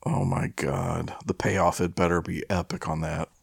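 A man's voice: sits low, steady tone, a little slow, creaky, husky, no room sound, studio quality. A tipsy man co-hosting a comedy podcast, reacting with mock exasperation and casual swearing. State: tipsy after three shots. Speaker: podcast co-host.

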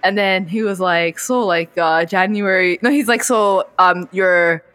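A woman in her early twenties hosting a casual podcast chat, telling stories in an animated, slangy way. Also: fairly low pitch, a little slow, dry recording. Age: early 20s. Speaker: podcast host.

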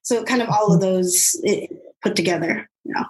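A woman's voice: mid-range voice, moderate pace, rough recording. Chatty woman in her forties. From Los Angeles, United States. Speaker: guest, doctoral candidate and youth minister. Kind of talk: casual conversation.

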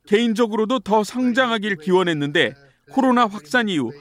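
A man's voice: high-pitched, brisk. A man reading a formal translation of an interview as a voice-over. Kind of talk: read translation.

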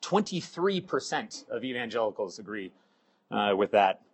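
A middle-aged man with a cold, sounding hoarse, giving a halting sermon full of ums and uhs. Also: lowish voice, very animated. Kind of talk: sermon with scripture reading.

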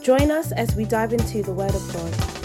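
Woman announcer, upbeat promo read, higher voice, music playing loud behind. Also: lively intonation, moderate pace. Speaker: ministry intro announcer. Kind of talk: scripted announcement.

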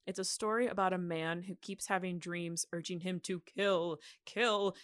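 A woman's voice: fairly low pitch, fairly even intonation, normal speed, a bit bright, clear, resonant tone, no echo. Informal woman podcast host, dry close sound. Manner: jokey, giggly, informal. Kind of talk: casual, conversational history storytelling.

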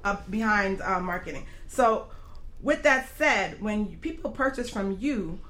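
A woman hosting a casual talk show interview, informal and jokey, with halting phrasing. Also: medium pitch, lively intonation, a bit bright.

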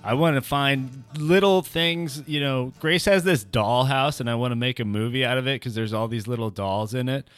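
A man's voice: medium pitch, normal speed, hardly any pauses, clear, resonant tone. A man talks casually as a podcast co-host over faint music. He is wry and rambles along, circling back to repeat his own phrases. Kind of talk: casual banter.

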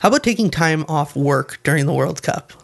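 Creaky, higher-voiced Canadian man chatting. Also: expressive, brisk. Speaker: podcast co-host. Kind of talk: casual group chat about soccer.

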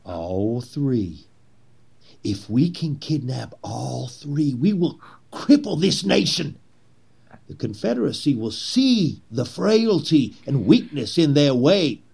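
An actor playing a zealous, persuasive Confederate conspirator in a historical drama, with a husky voice and theatrical, oratorical delivery. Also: male voice, mid-range voice, big pitch swings, takes it slowly, darkish tone, full character voice. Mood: scheming. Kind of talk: period historical drama acting.

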